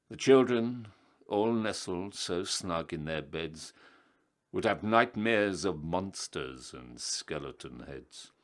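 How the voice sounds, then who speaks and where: Slow, low-voiced male storyteller. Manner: declamatory, emphatic. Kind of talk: dramatic rhyming story reading.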